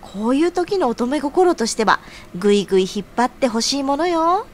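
Actress as a high, lively, teasing woman. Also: normal speed, a little hiss or hum. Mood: sisterly, concerned. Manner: coquettish, feminine phrasing. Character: sisterly, teasing, encouraging senior female friend. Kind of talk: audio drama dialogue.

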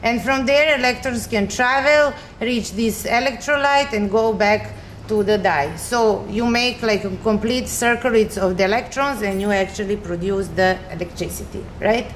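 A woman's voice: medium pitch, moderate pace. Young Serbian woman, echoey room. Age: young adult. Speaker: chemistry researcher, recent graduate. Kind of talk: informal explanatory science presentation. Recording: echoey room with faint noise.

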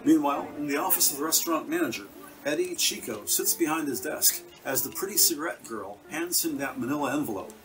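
A man's voice: fairly high pitch, animated, fairly quick, distant mic, music in the background, low-quality recording. A man reading a scripted present-tense detective story as its narrator.

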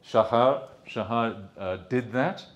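A man's voice: medium pitch, slow pace, muffled recording. Fields: man, 50s, British accent, lecturing. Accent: British English. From Sri Lanka. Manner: relaxed, hesitant, with many ums and restarts. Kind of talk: conference lecture. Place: conference room with projector screen. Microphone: handheld microphone.

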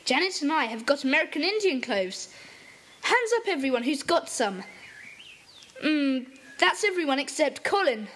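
A woman voicing a brisk, commanding boy who leads a children's secret club in an audio drama. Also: high voice, expressive, fairly bright tone.

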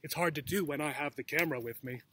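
A man's voice: fairly high pitch, fast talker, sharp tone, slight background noise. A man in his forties reading a picture book aloud as a teacher, with simple, encouraging talk for young children. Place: outdoors in a grassy yard. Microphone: camera or laptop mic at about arm's length.

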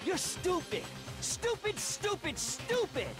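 A man's voice: very animated, slow pace, heavily acted. Shrieking, frantic hot-headed teenage boy. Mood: frantic, furious. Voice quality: shrill yelling. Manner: frantic, repetitive, defiant. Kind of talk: anime video game acting. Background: loud game music.

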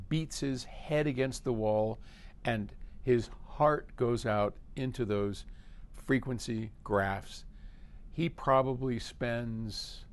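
A man in his seventies speaks to camera in an indoor workspace. He explains in a conversational, unhurried way, working out his thoughts as he goes. His pitch sits in the middle range for a man. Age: 70s. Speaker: company head hosting a question-and-answer video. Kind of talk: casual explanatory talk to camera. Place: large indoor office workspace with hard floor.

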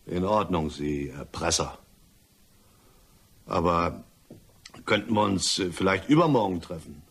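An actor playing an indignant, grudging blackmail victim in a radio play, curt and reproachful. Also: male voice, low voice, expressive, a little slow, fairly bright tone, husky, full character voice.

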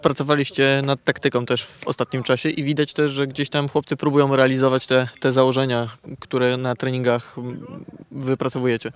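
A man working as a club reporter, asking measured questions in an outdoor interview at a football pitch. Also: flat delivery, clear, resonant tone.

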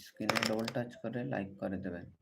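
A man's voice: on the low side, fast talker, gravelly. A man hosting a livestream, greeting viewers and repeating set-phrase appeals to them. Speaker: livestream host. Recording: noticeable background noise.

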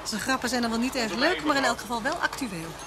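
Actress as chatty, lively, higher-voiced schoolteacher woman. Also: fast talker, lightly acted. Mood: chatty, curious. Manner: sociable, forthcoming, questioning. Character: chatty, friendly, inquisitive unmarried female schoolteacher. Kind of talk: vintage Dutch radio play acting. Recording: noisy old radio play recording.

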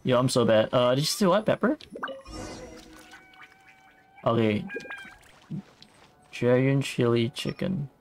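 A man's voice: medium pitch, sing-song, drawn-out words, vocal fry, long pauses, slight background noise. A man casually commentating his gameplay as a streamer, muttering to himself in a self-deprecating way. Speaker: game streamer.

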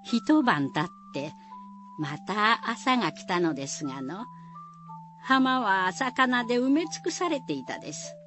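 A woman's voice: medium pitch, sing-song, unhurried, music in the background. A woman narrating a folk tale for radio in a folksy manner, with rustic dialect. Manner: folksy storytelling. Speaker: storyteller narrator.

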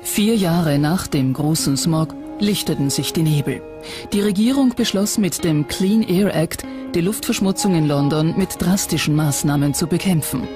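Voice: mid-range voice, normal speed. Documentary narrator over prominent music. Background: background music.

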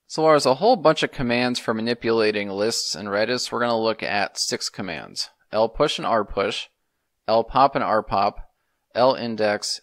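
A man's voice: medium pitch, fairly bright tone, no echo, studio quality. A man, explaining things methodically in a semi-casual screencast tutorial. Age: 30s. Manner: methodical, step-by-step instruction. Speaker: programming tutorial host.

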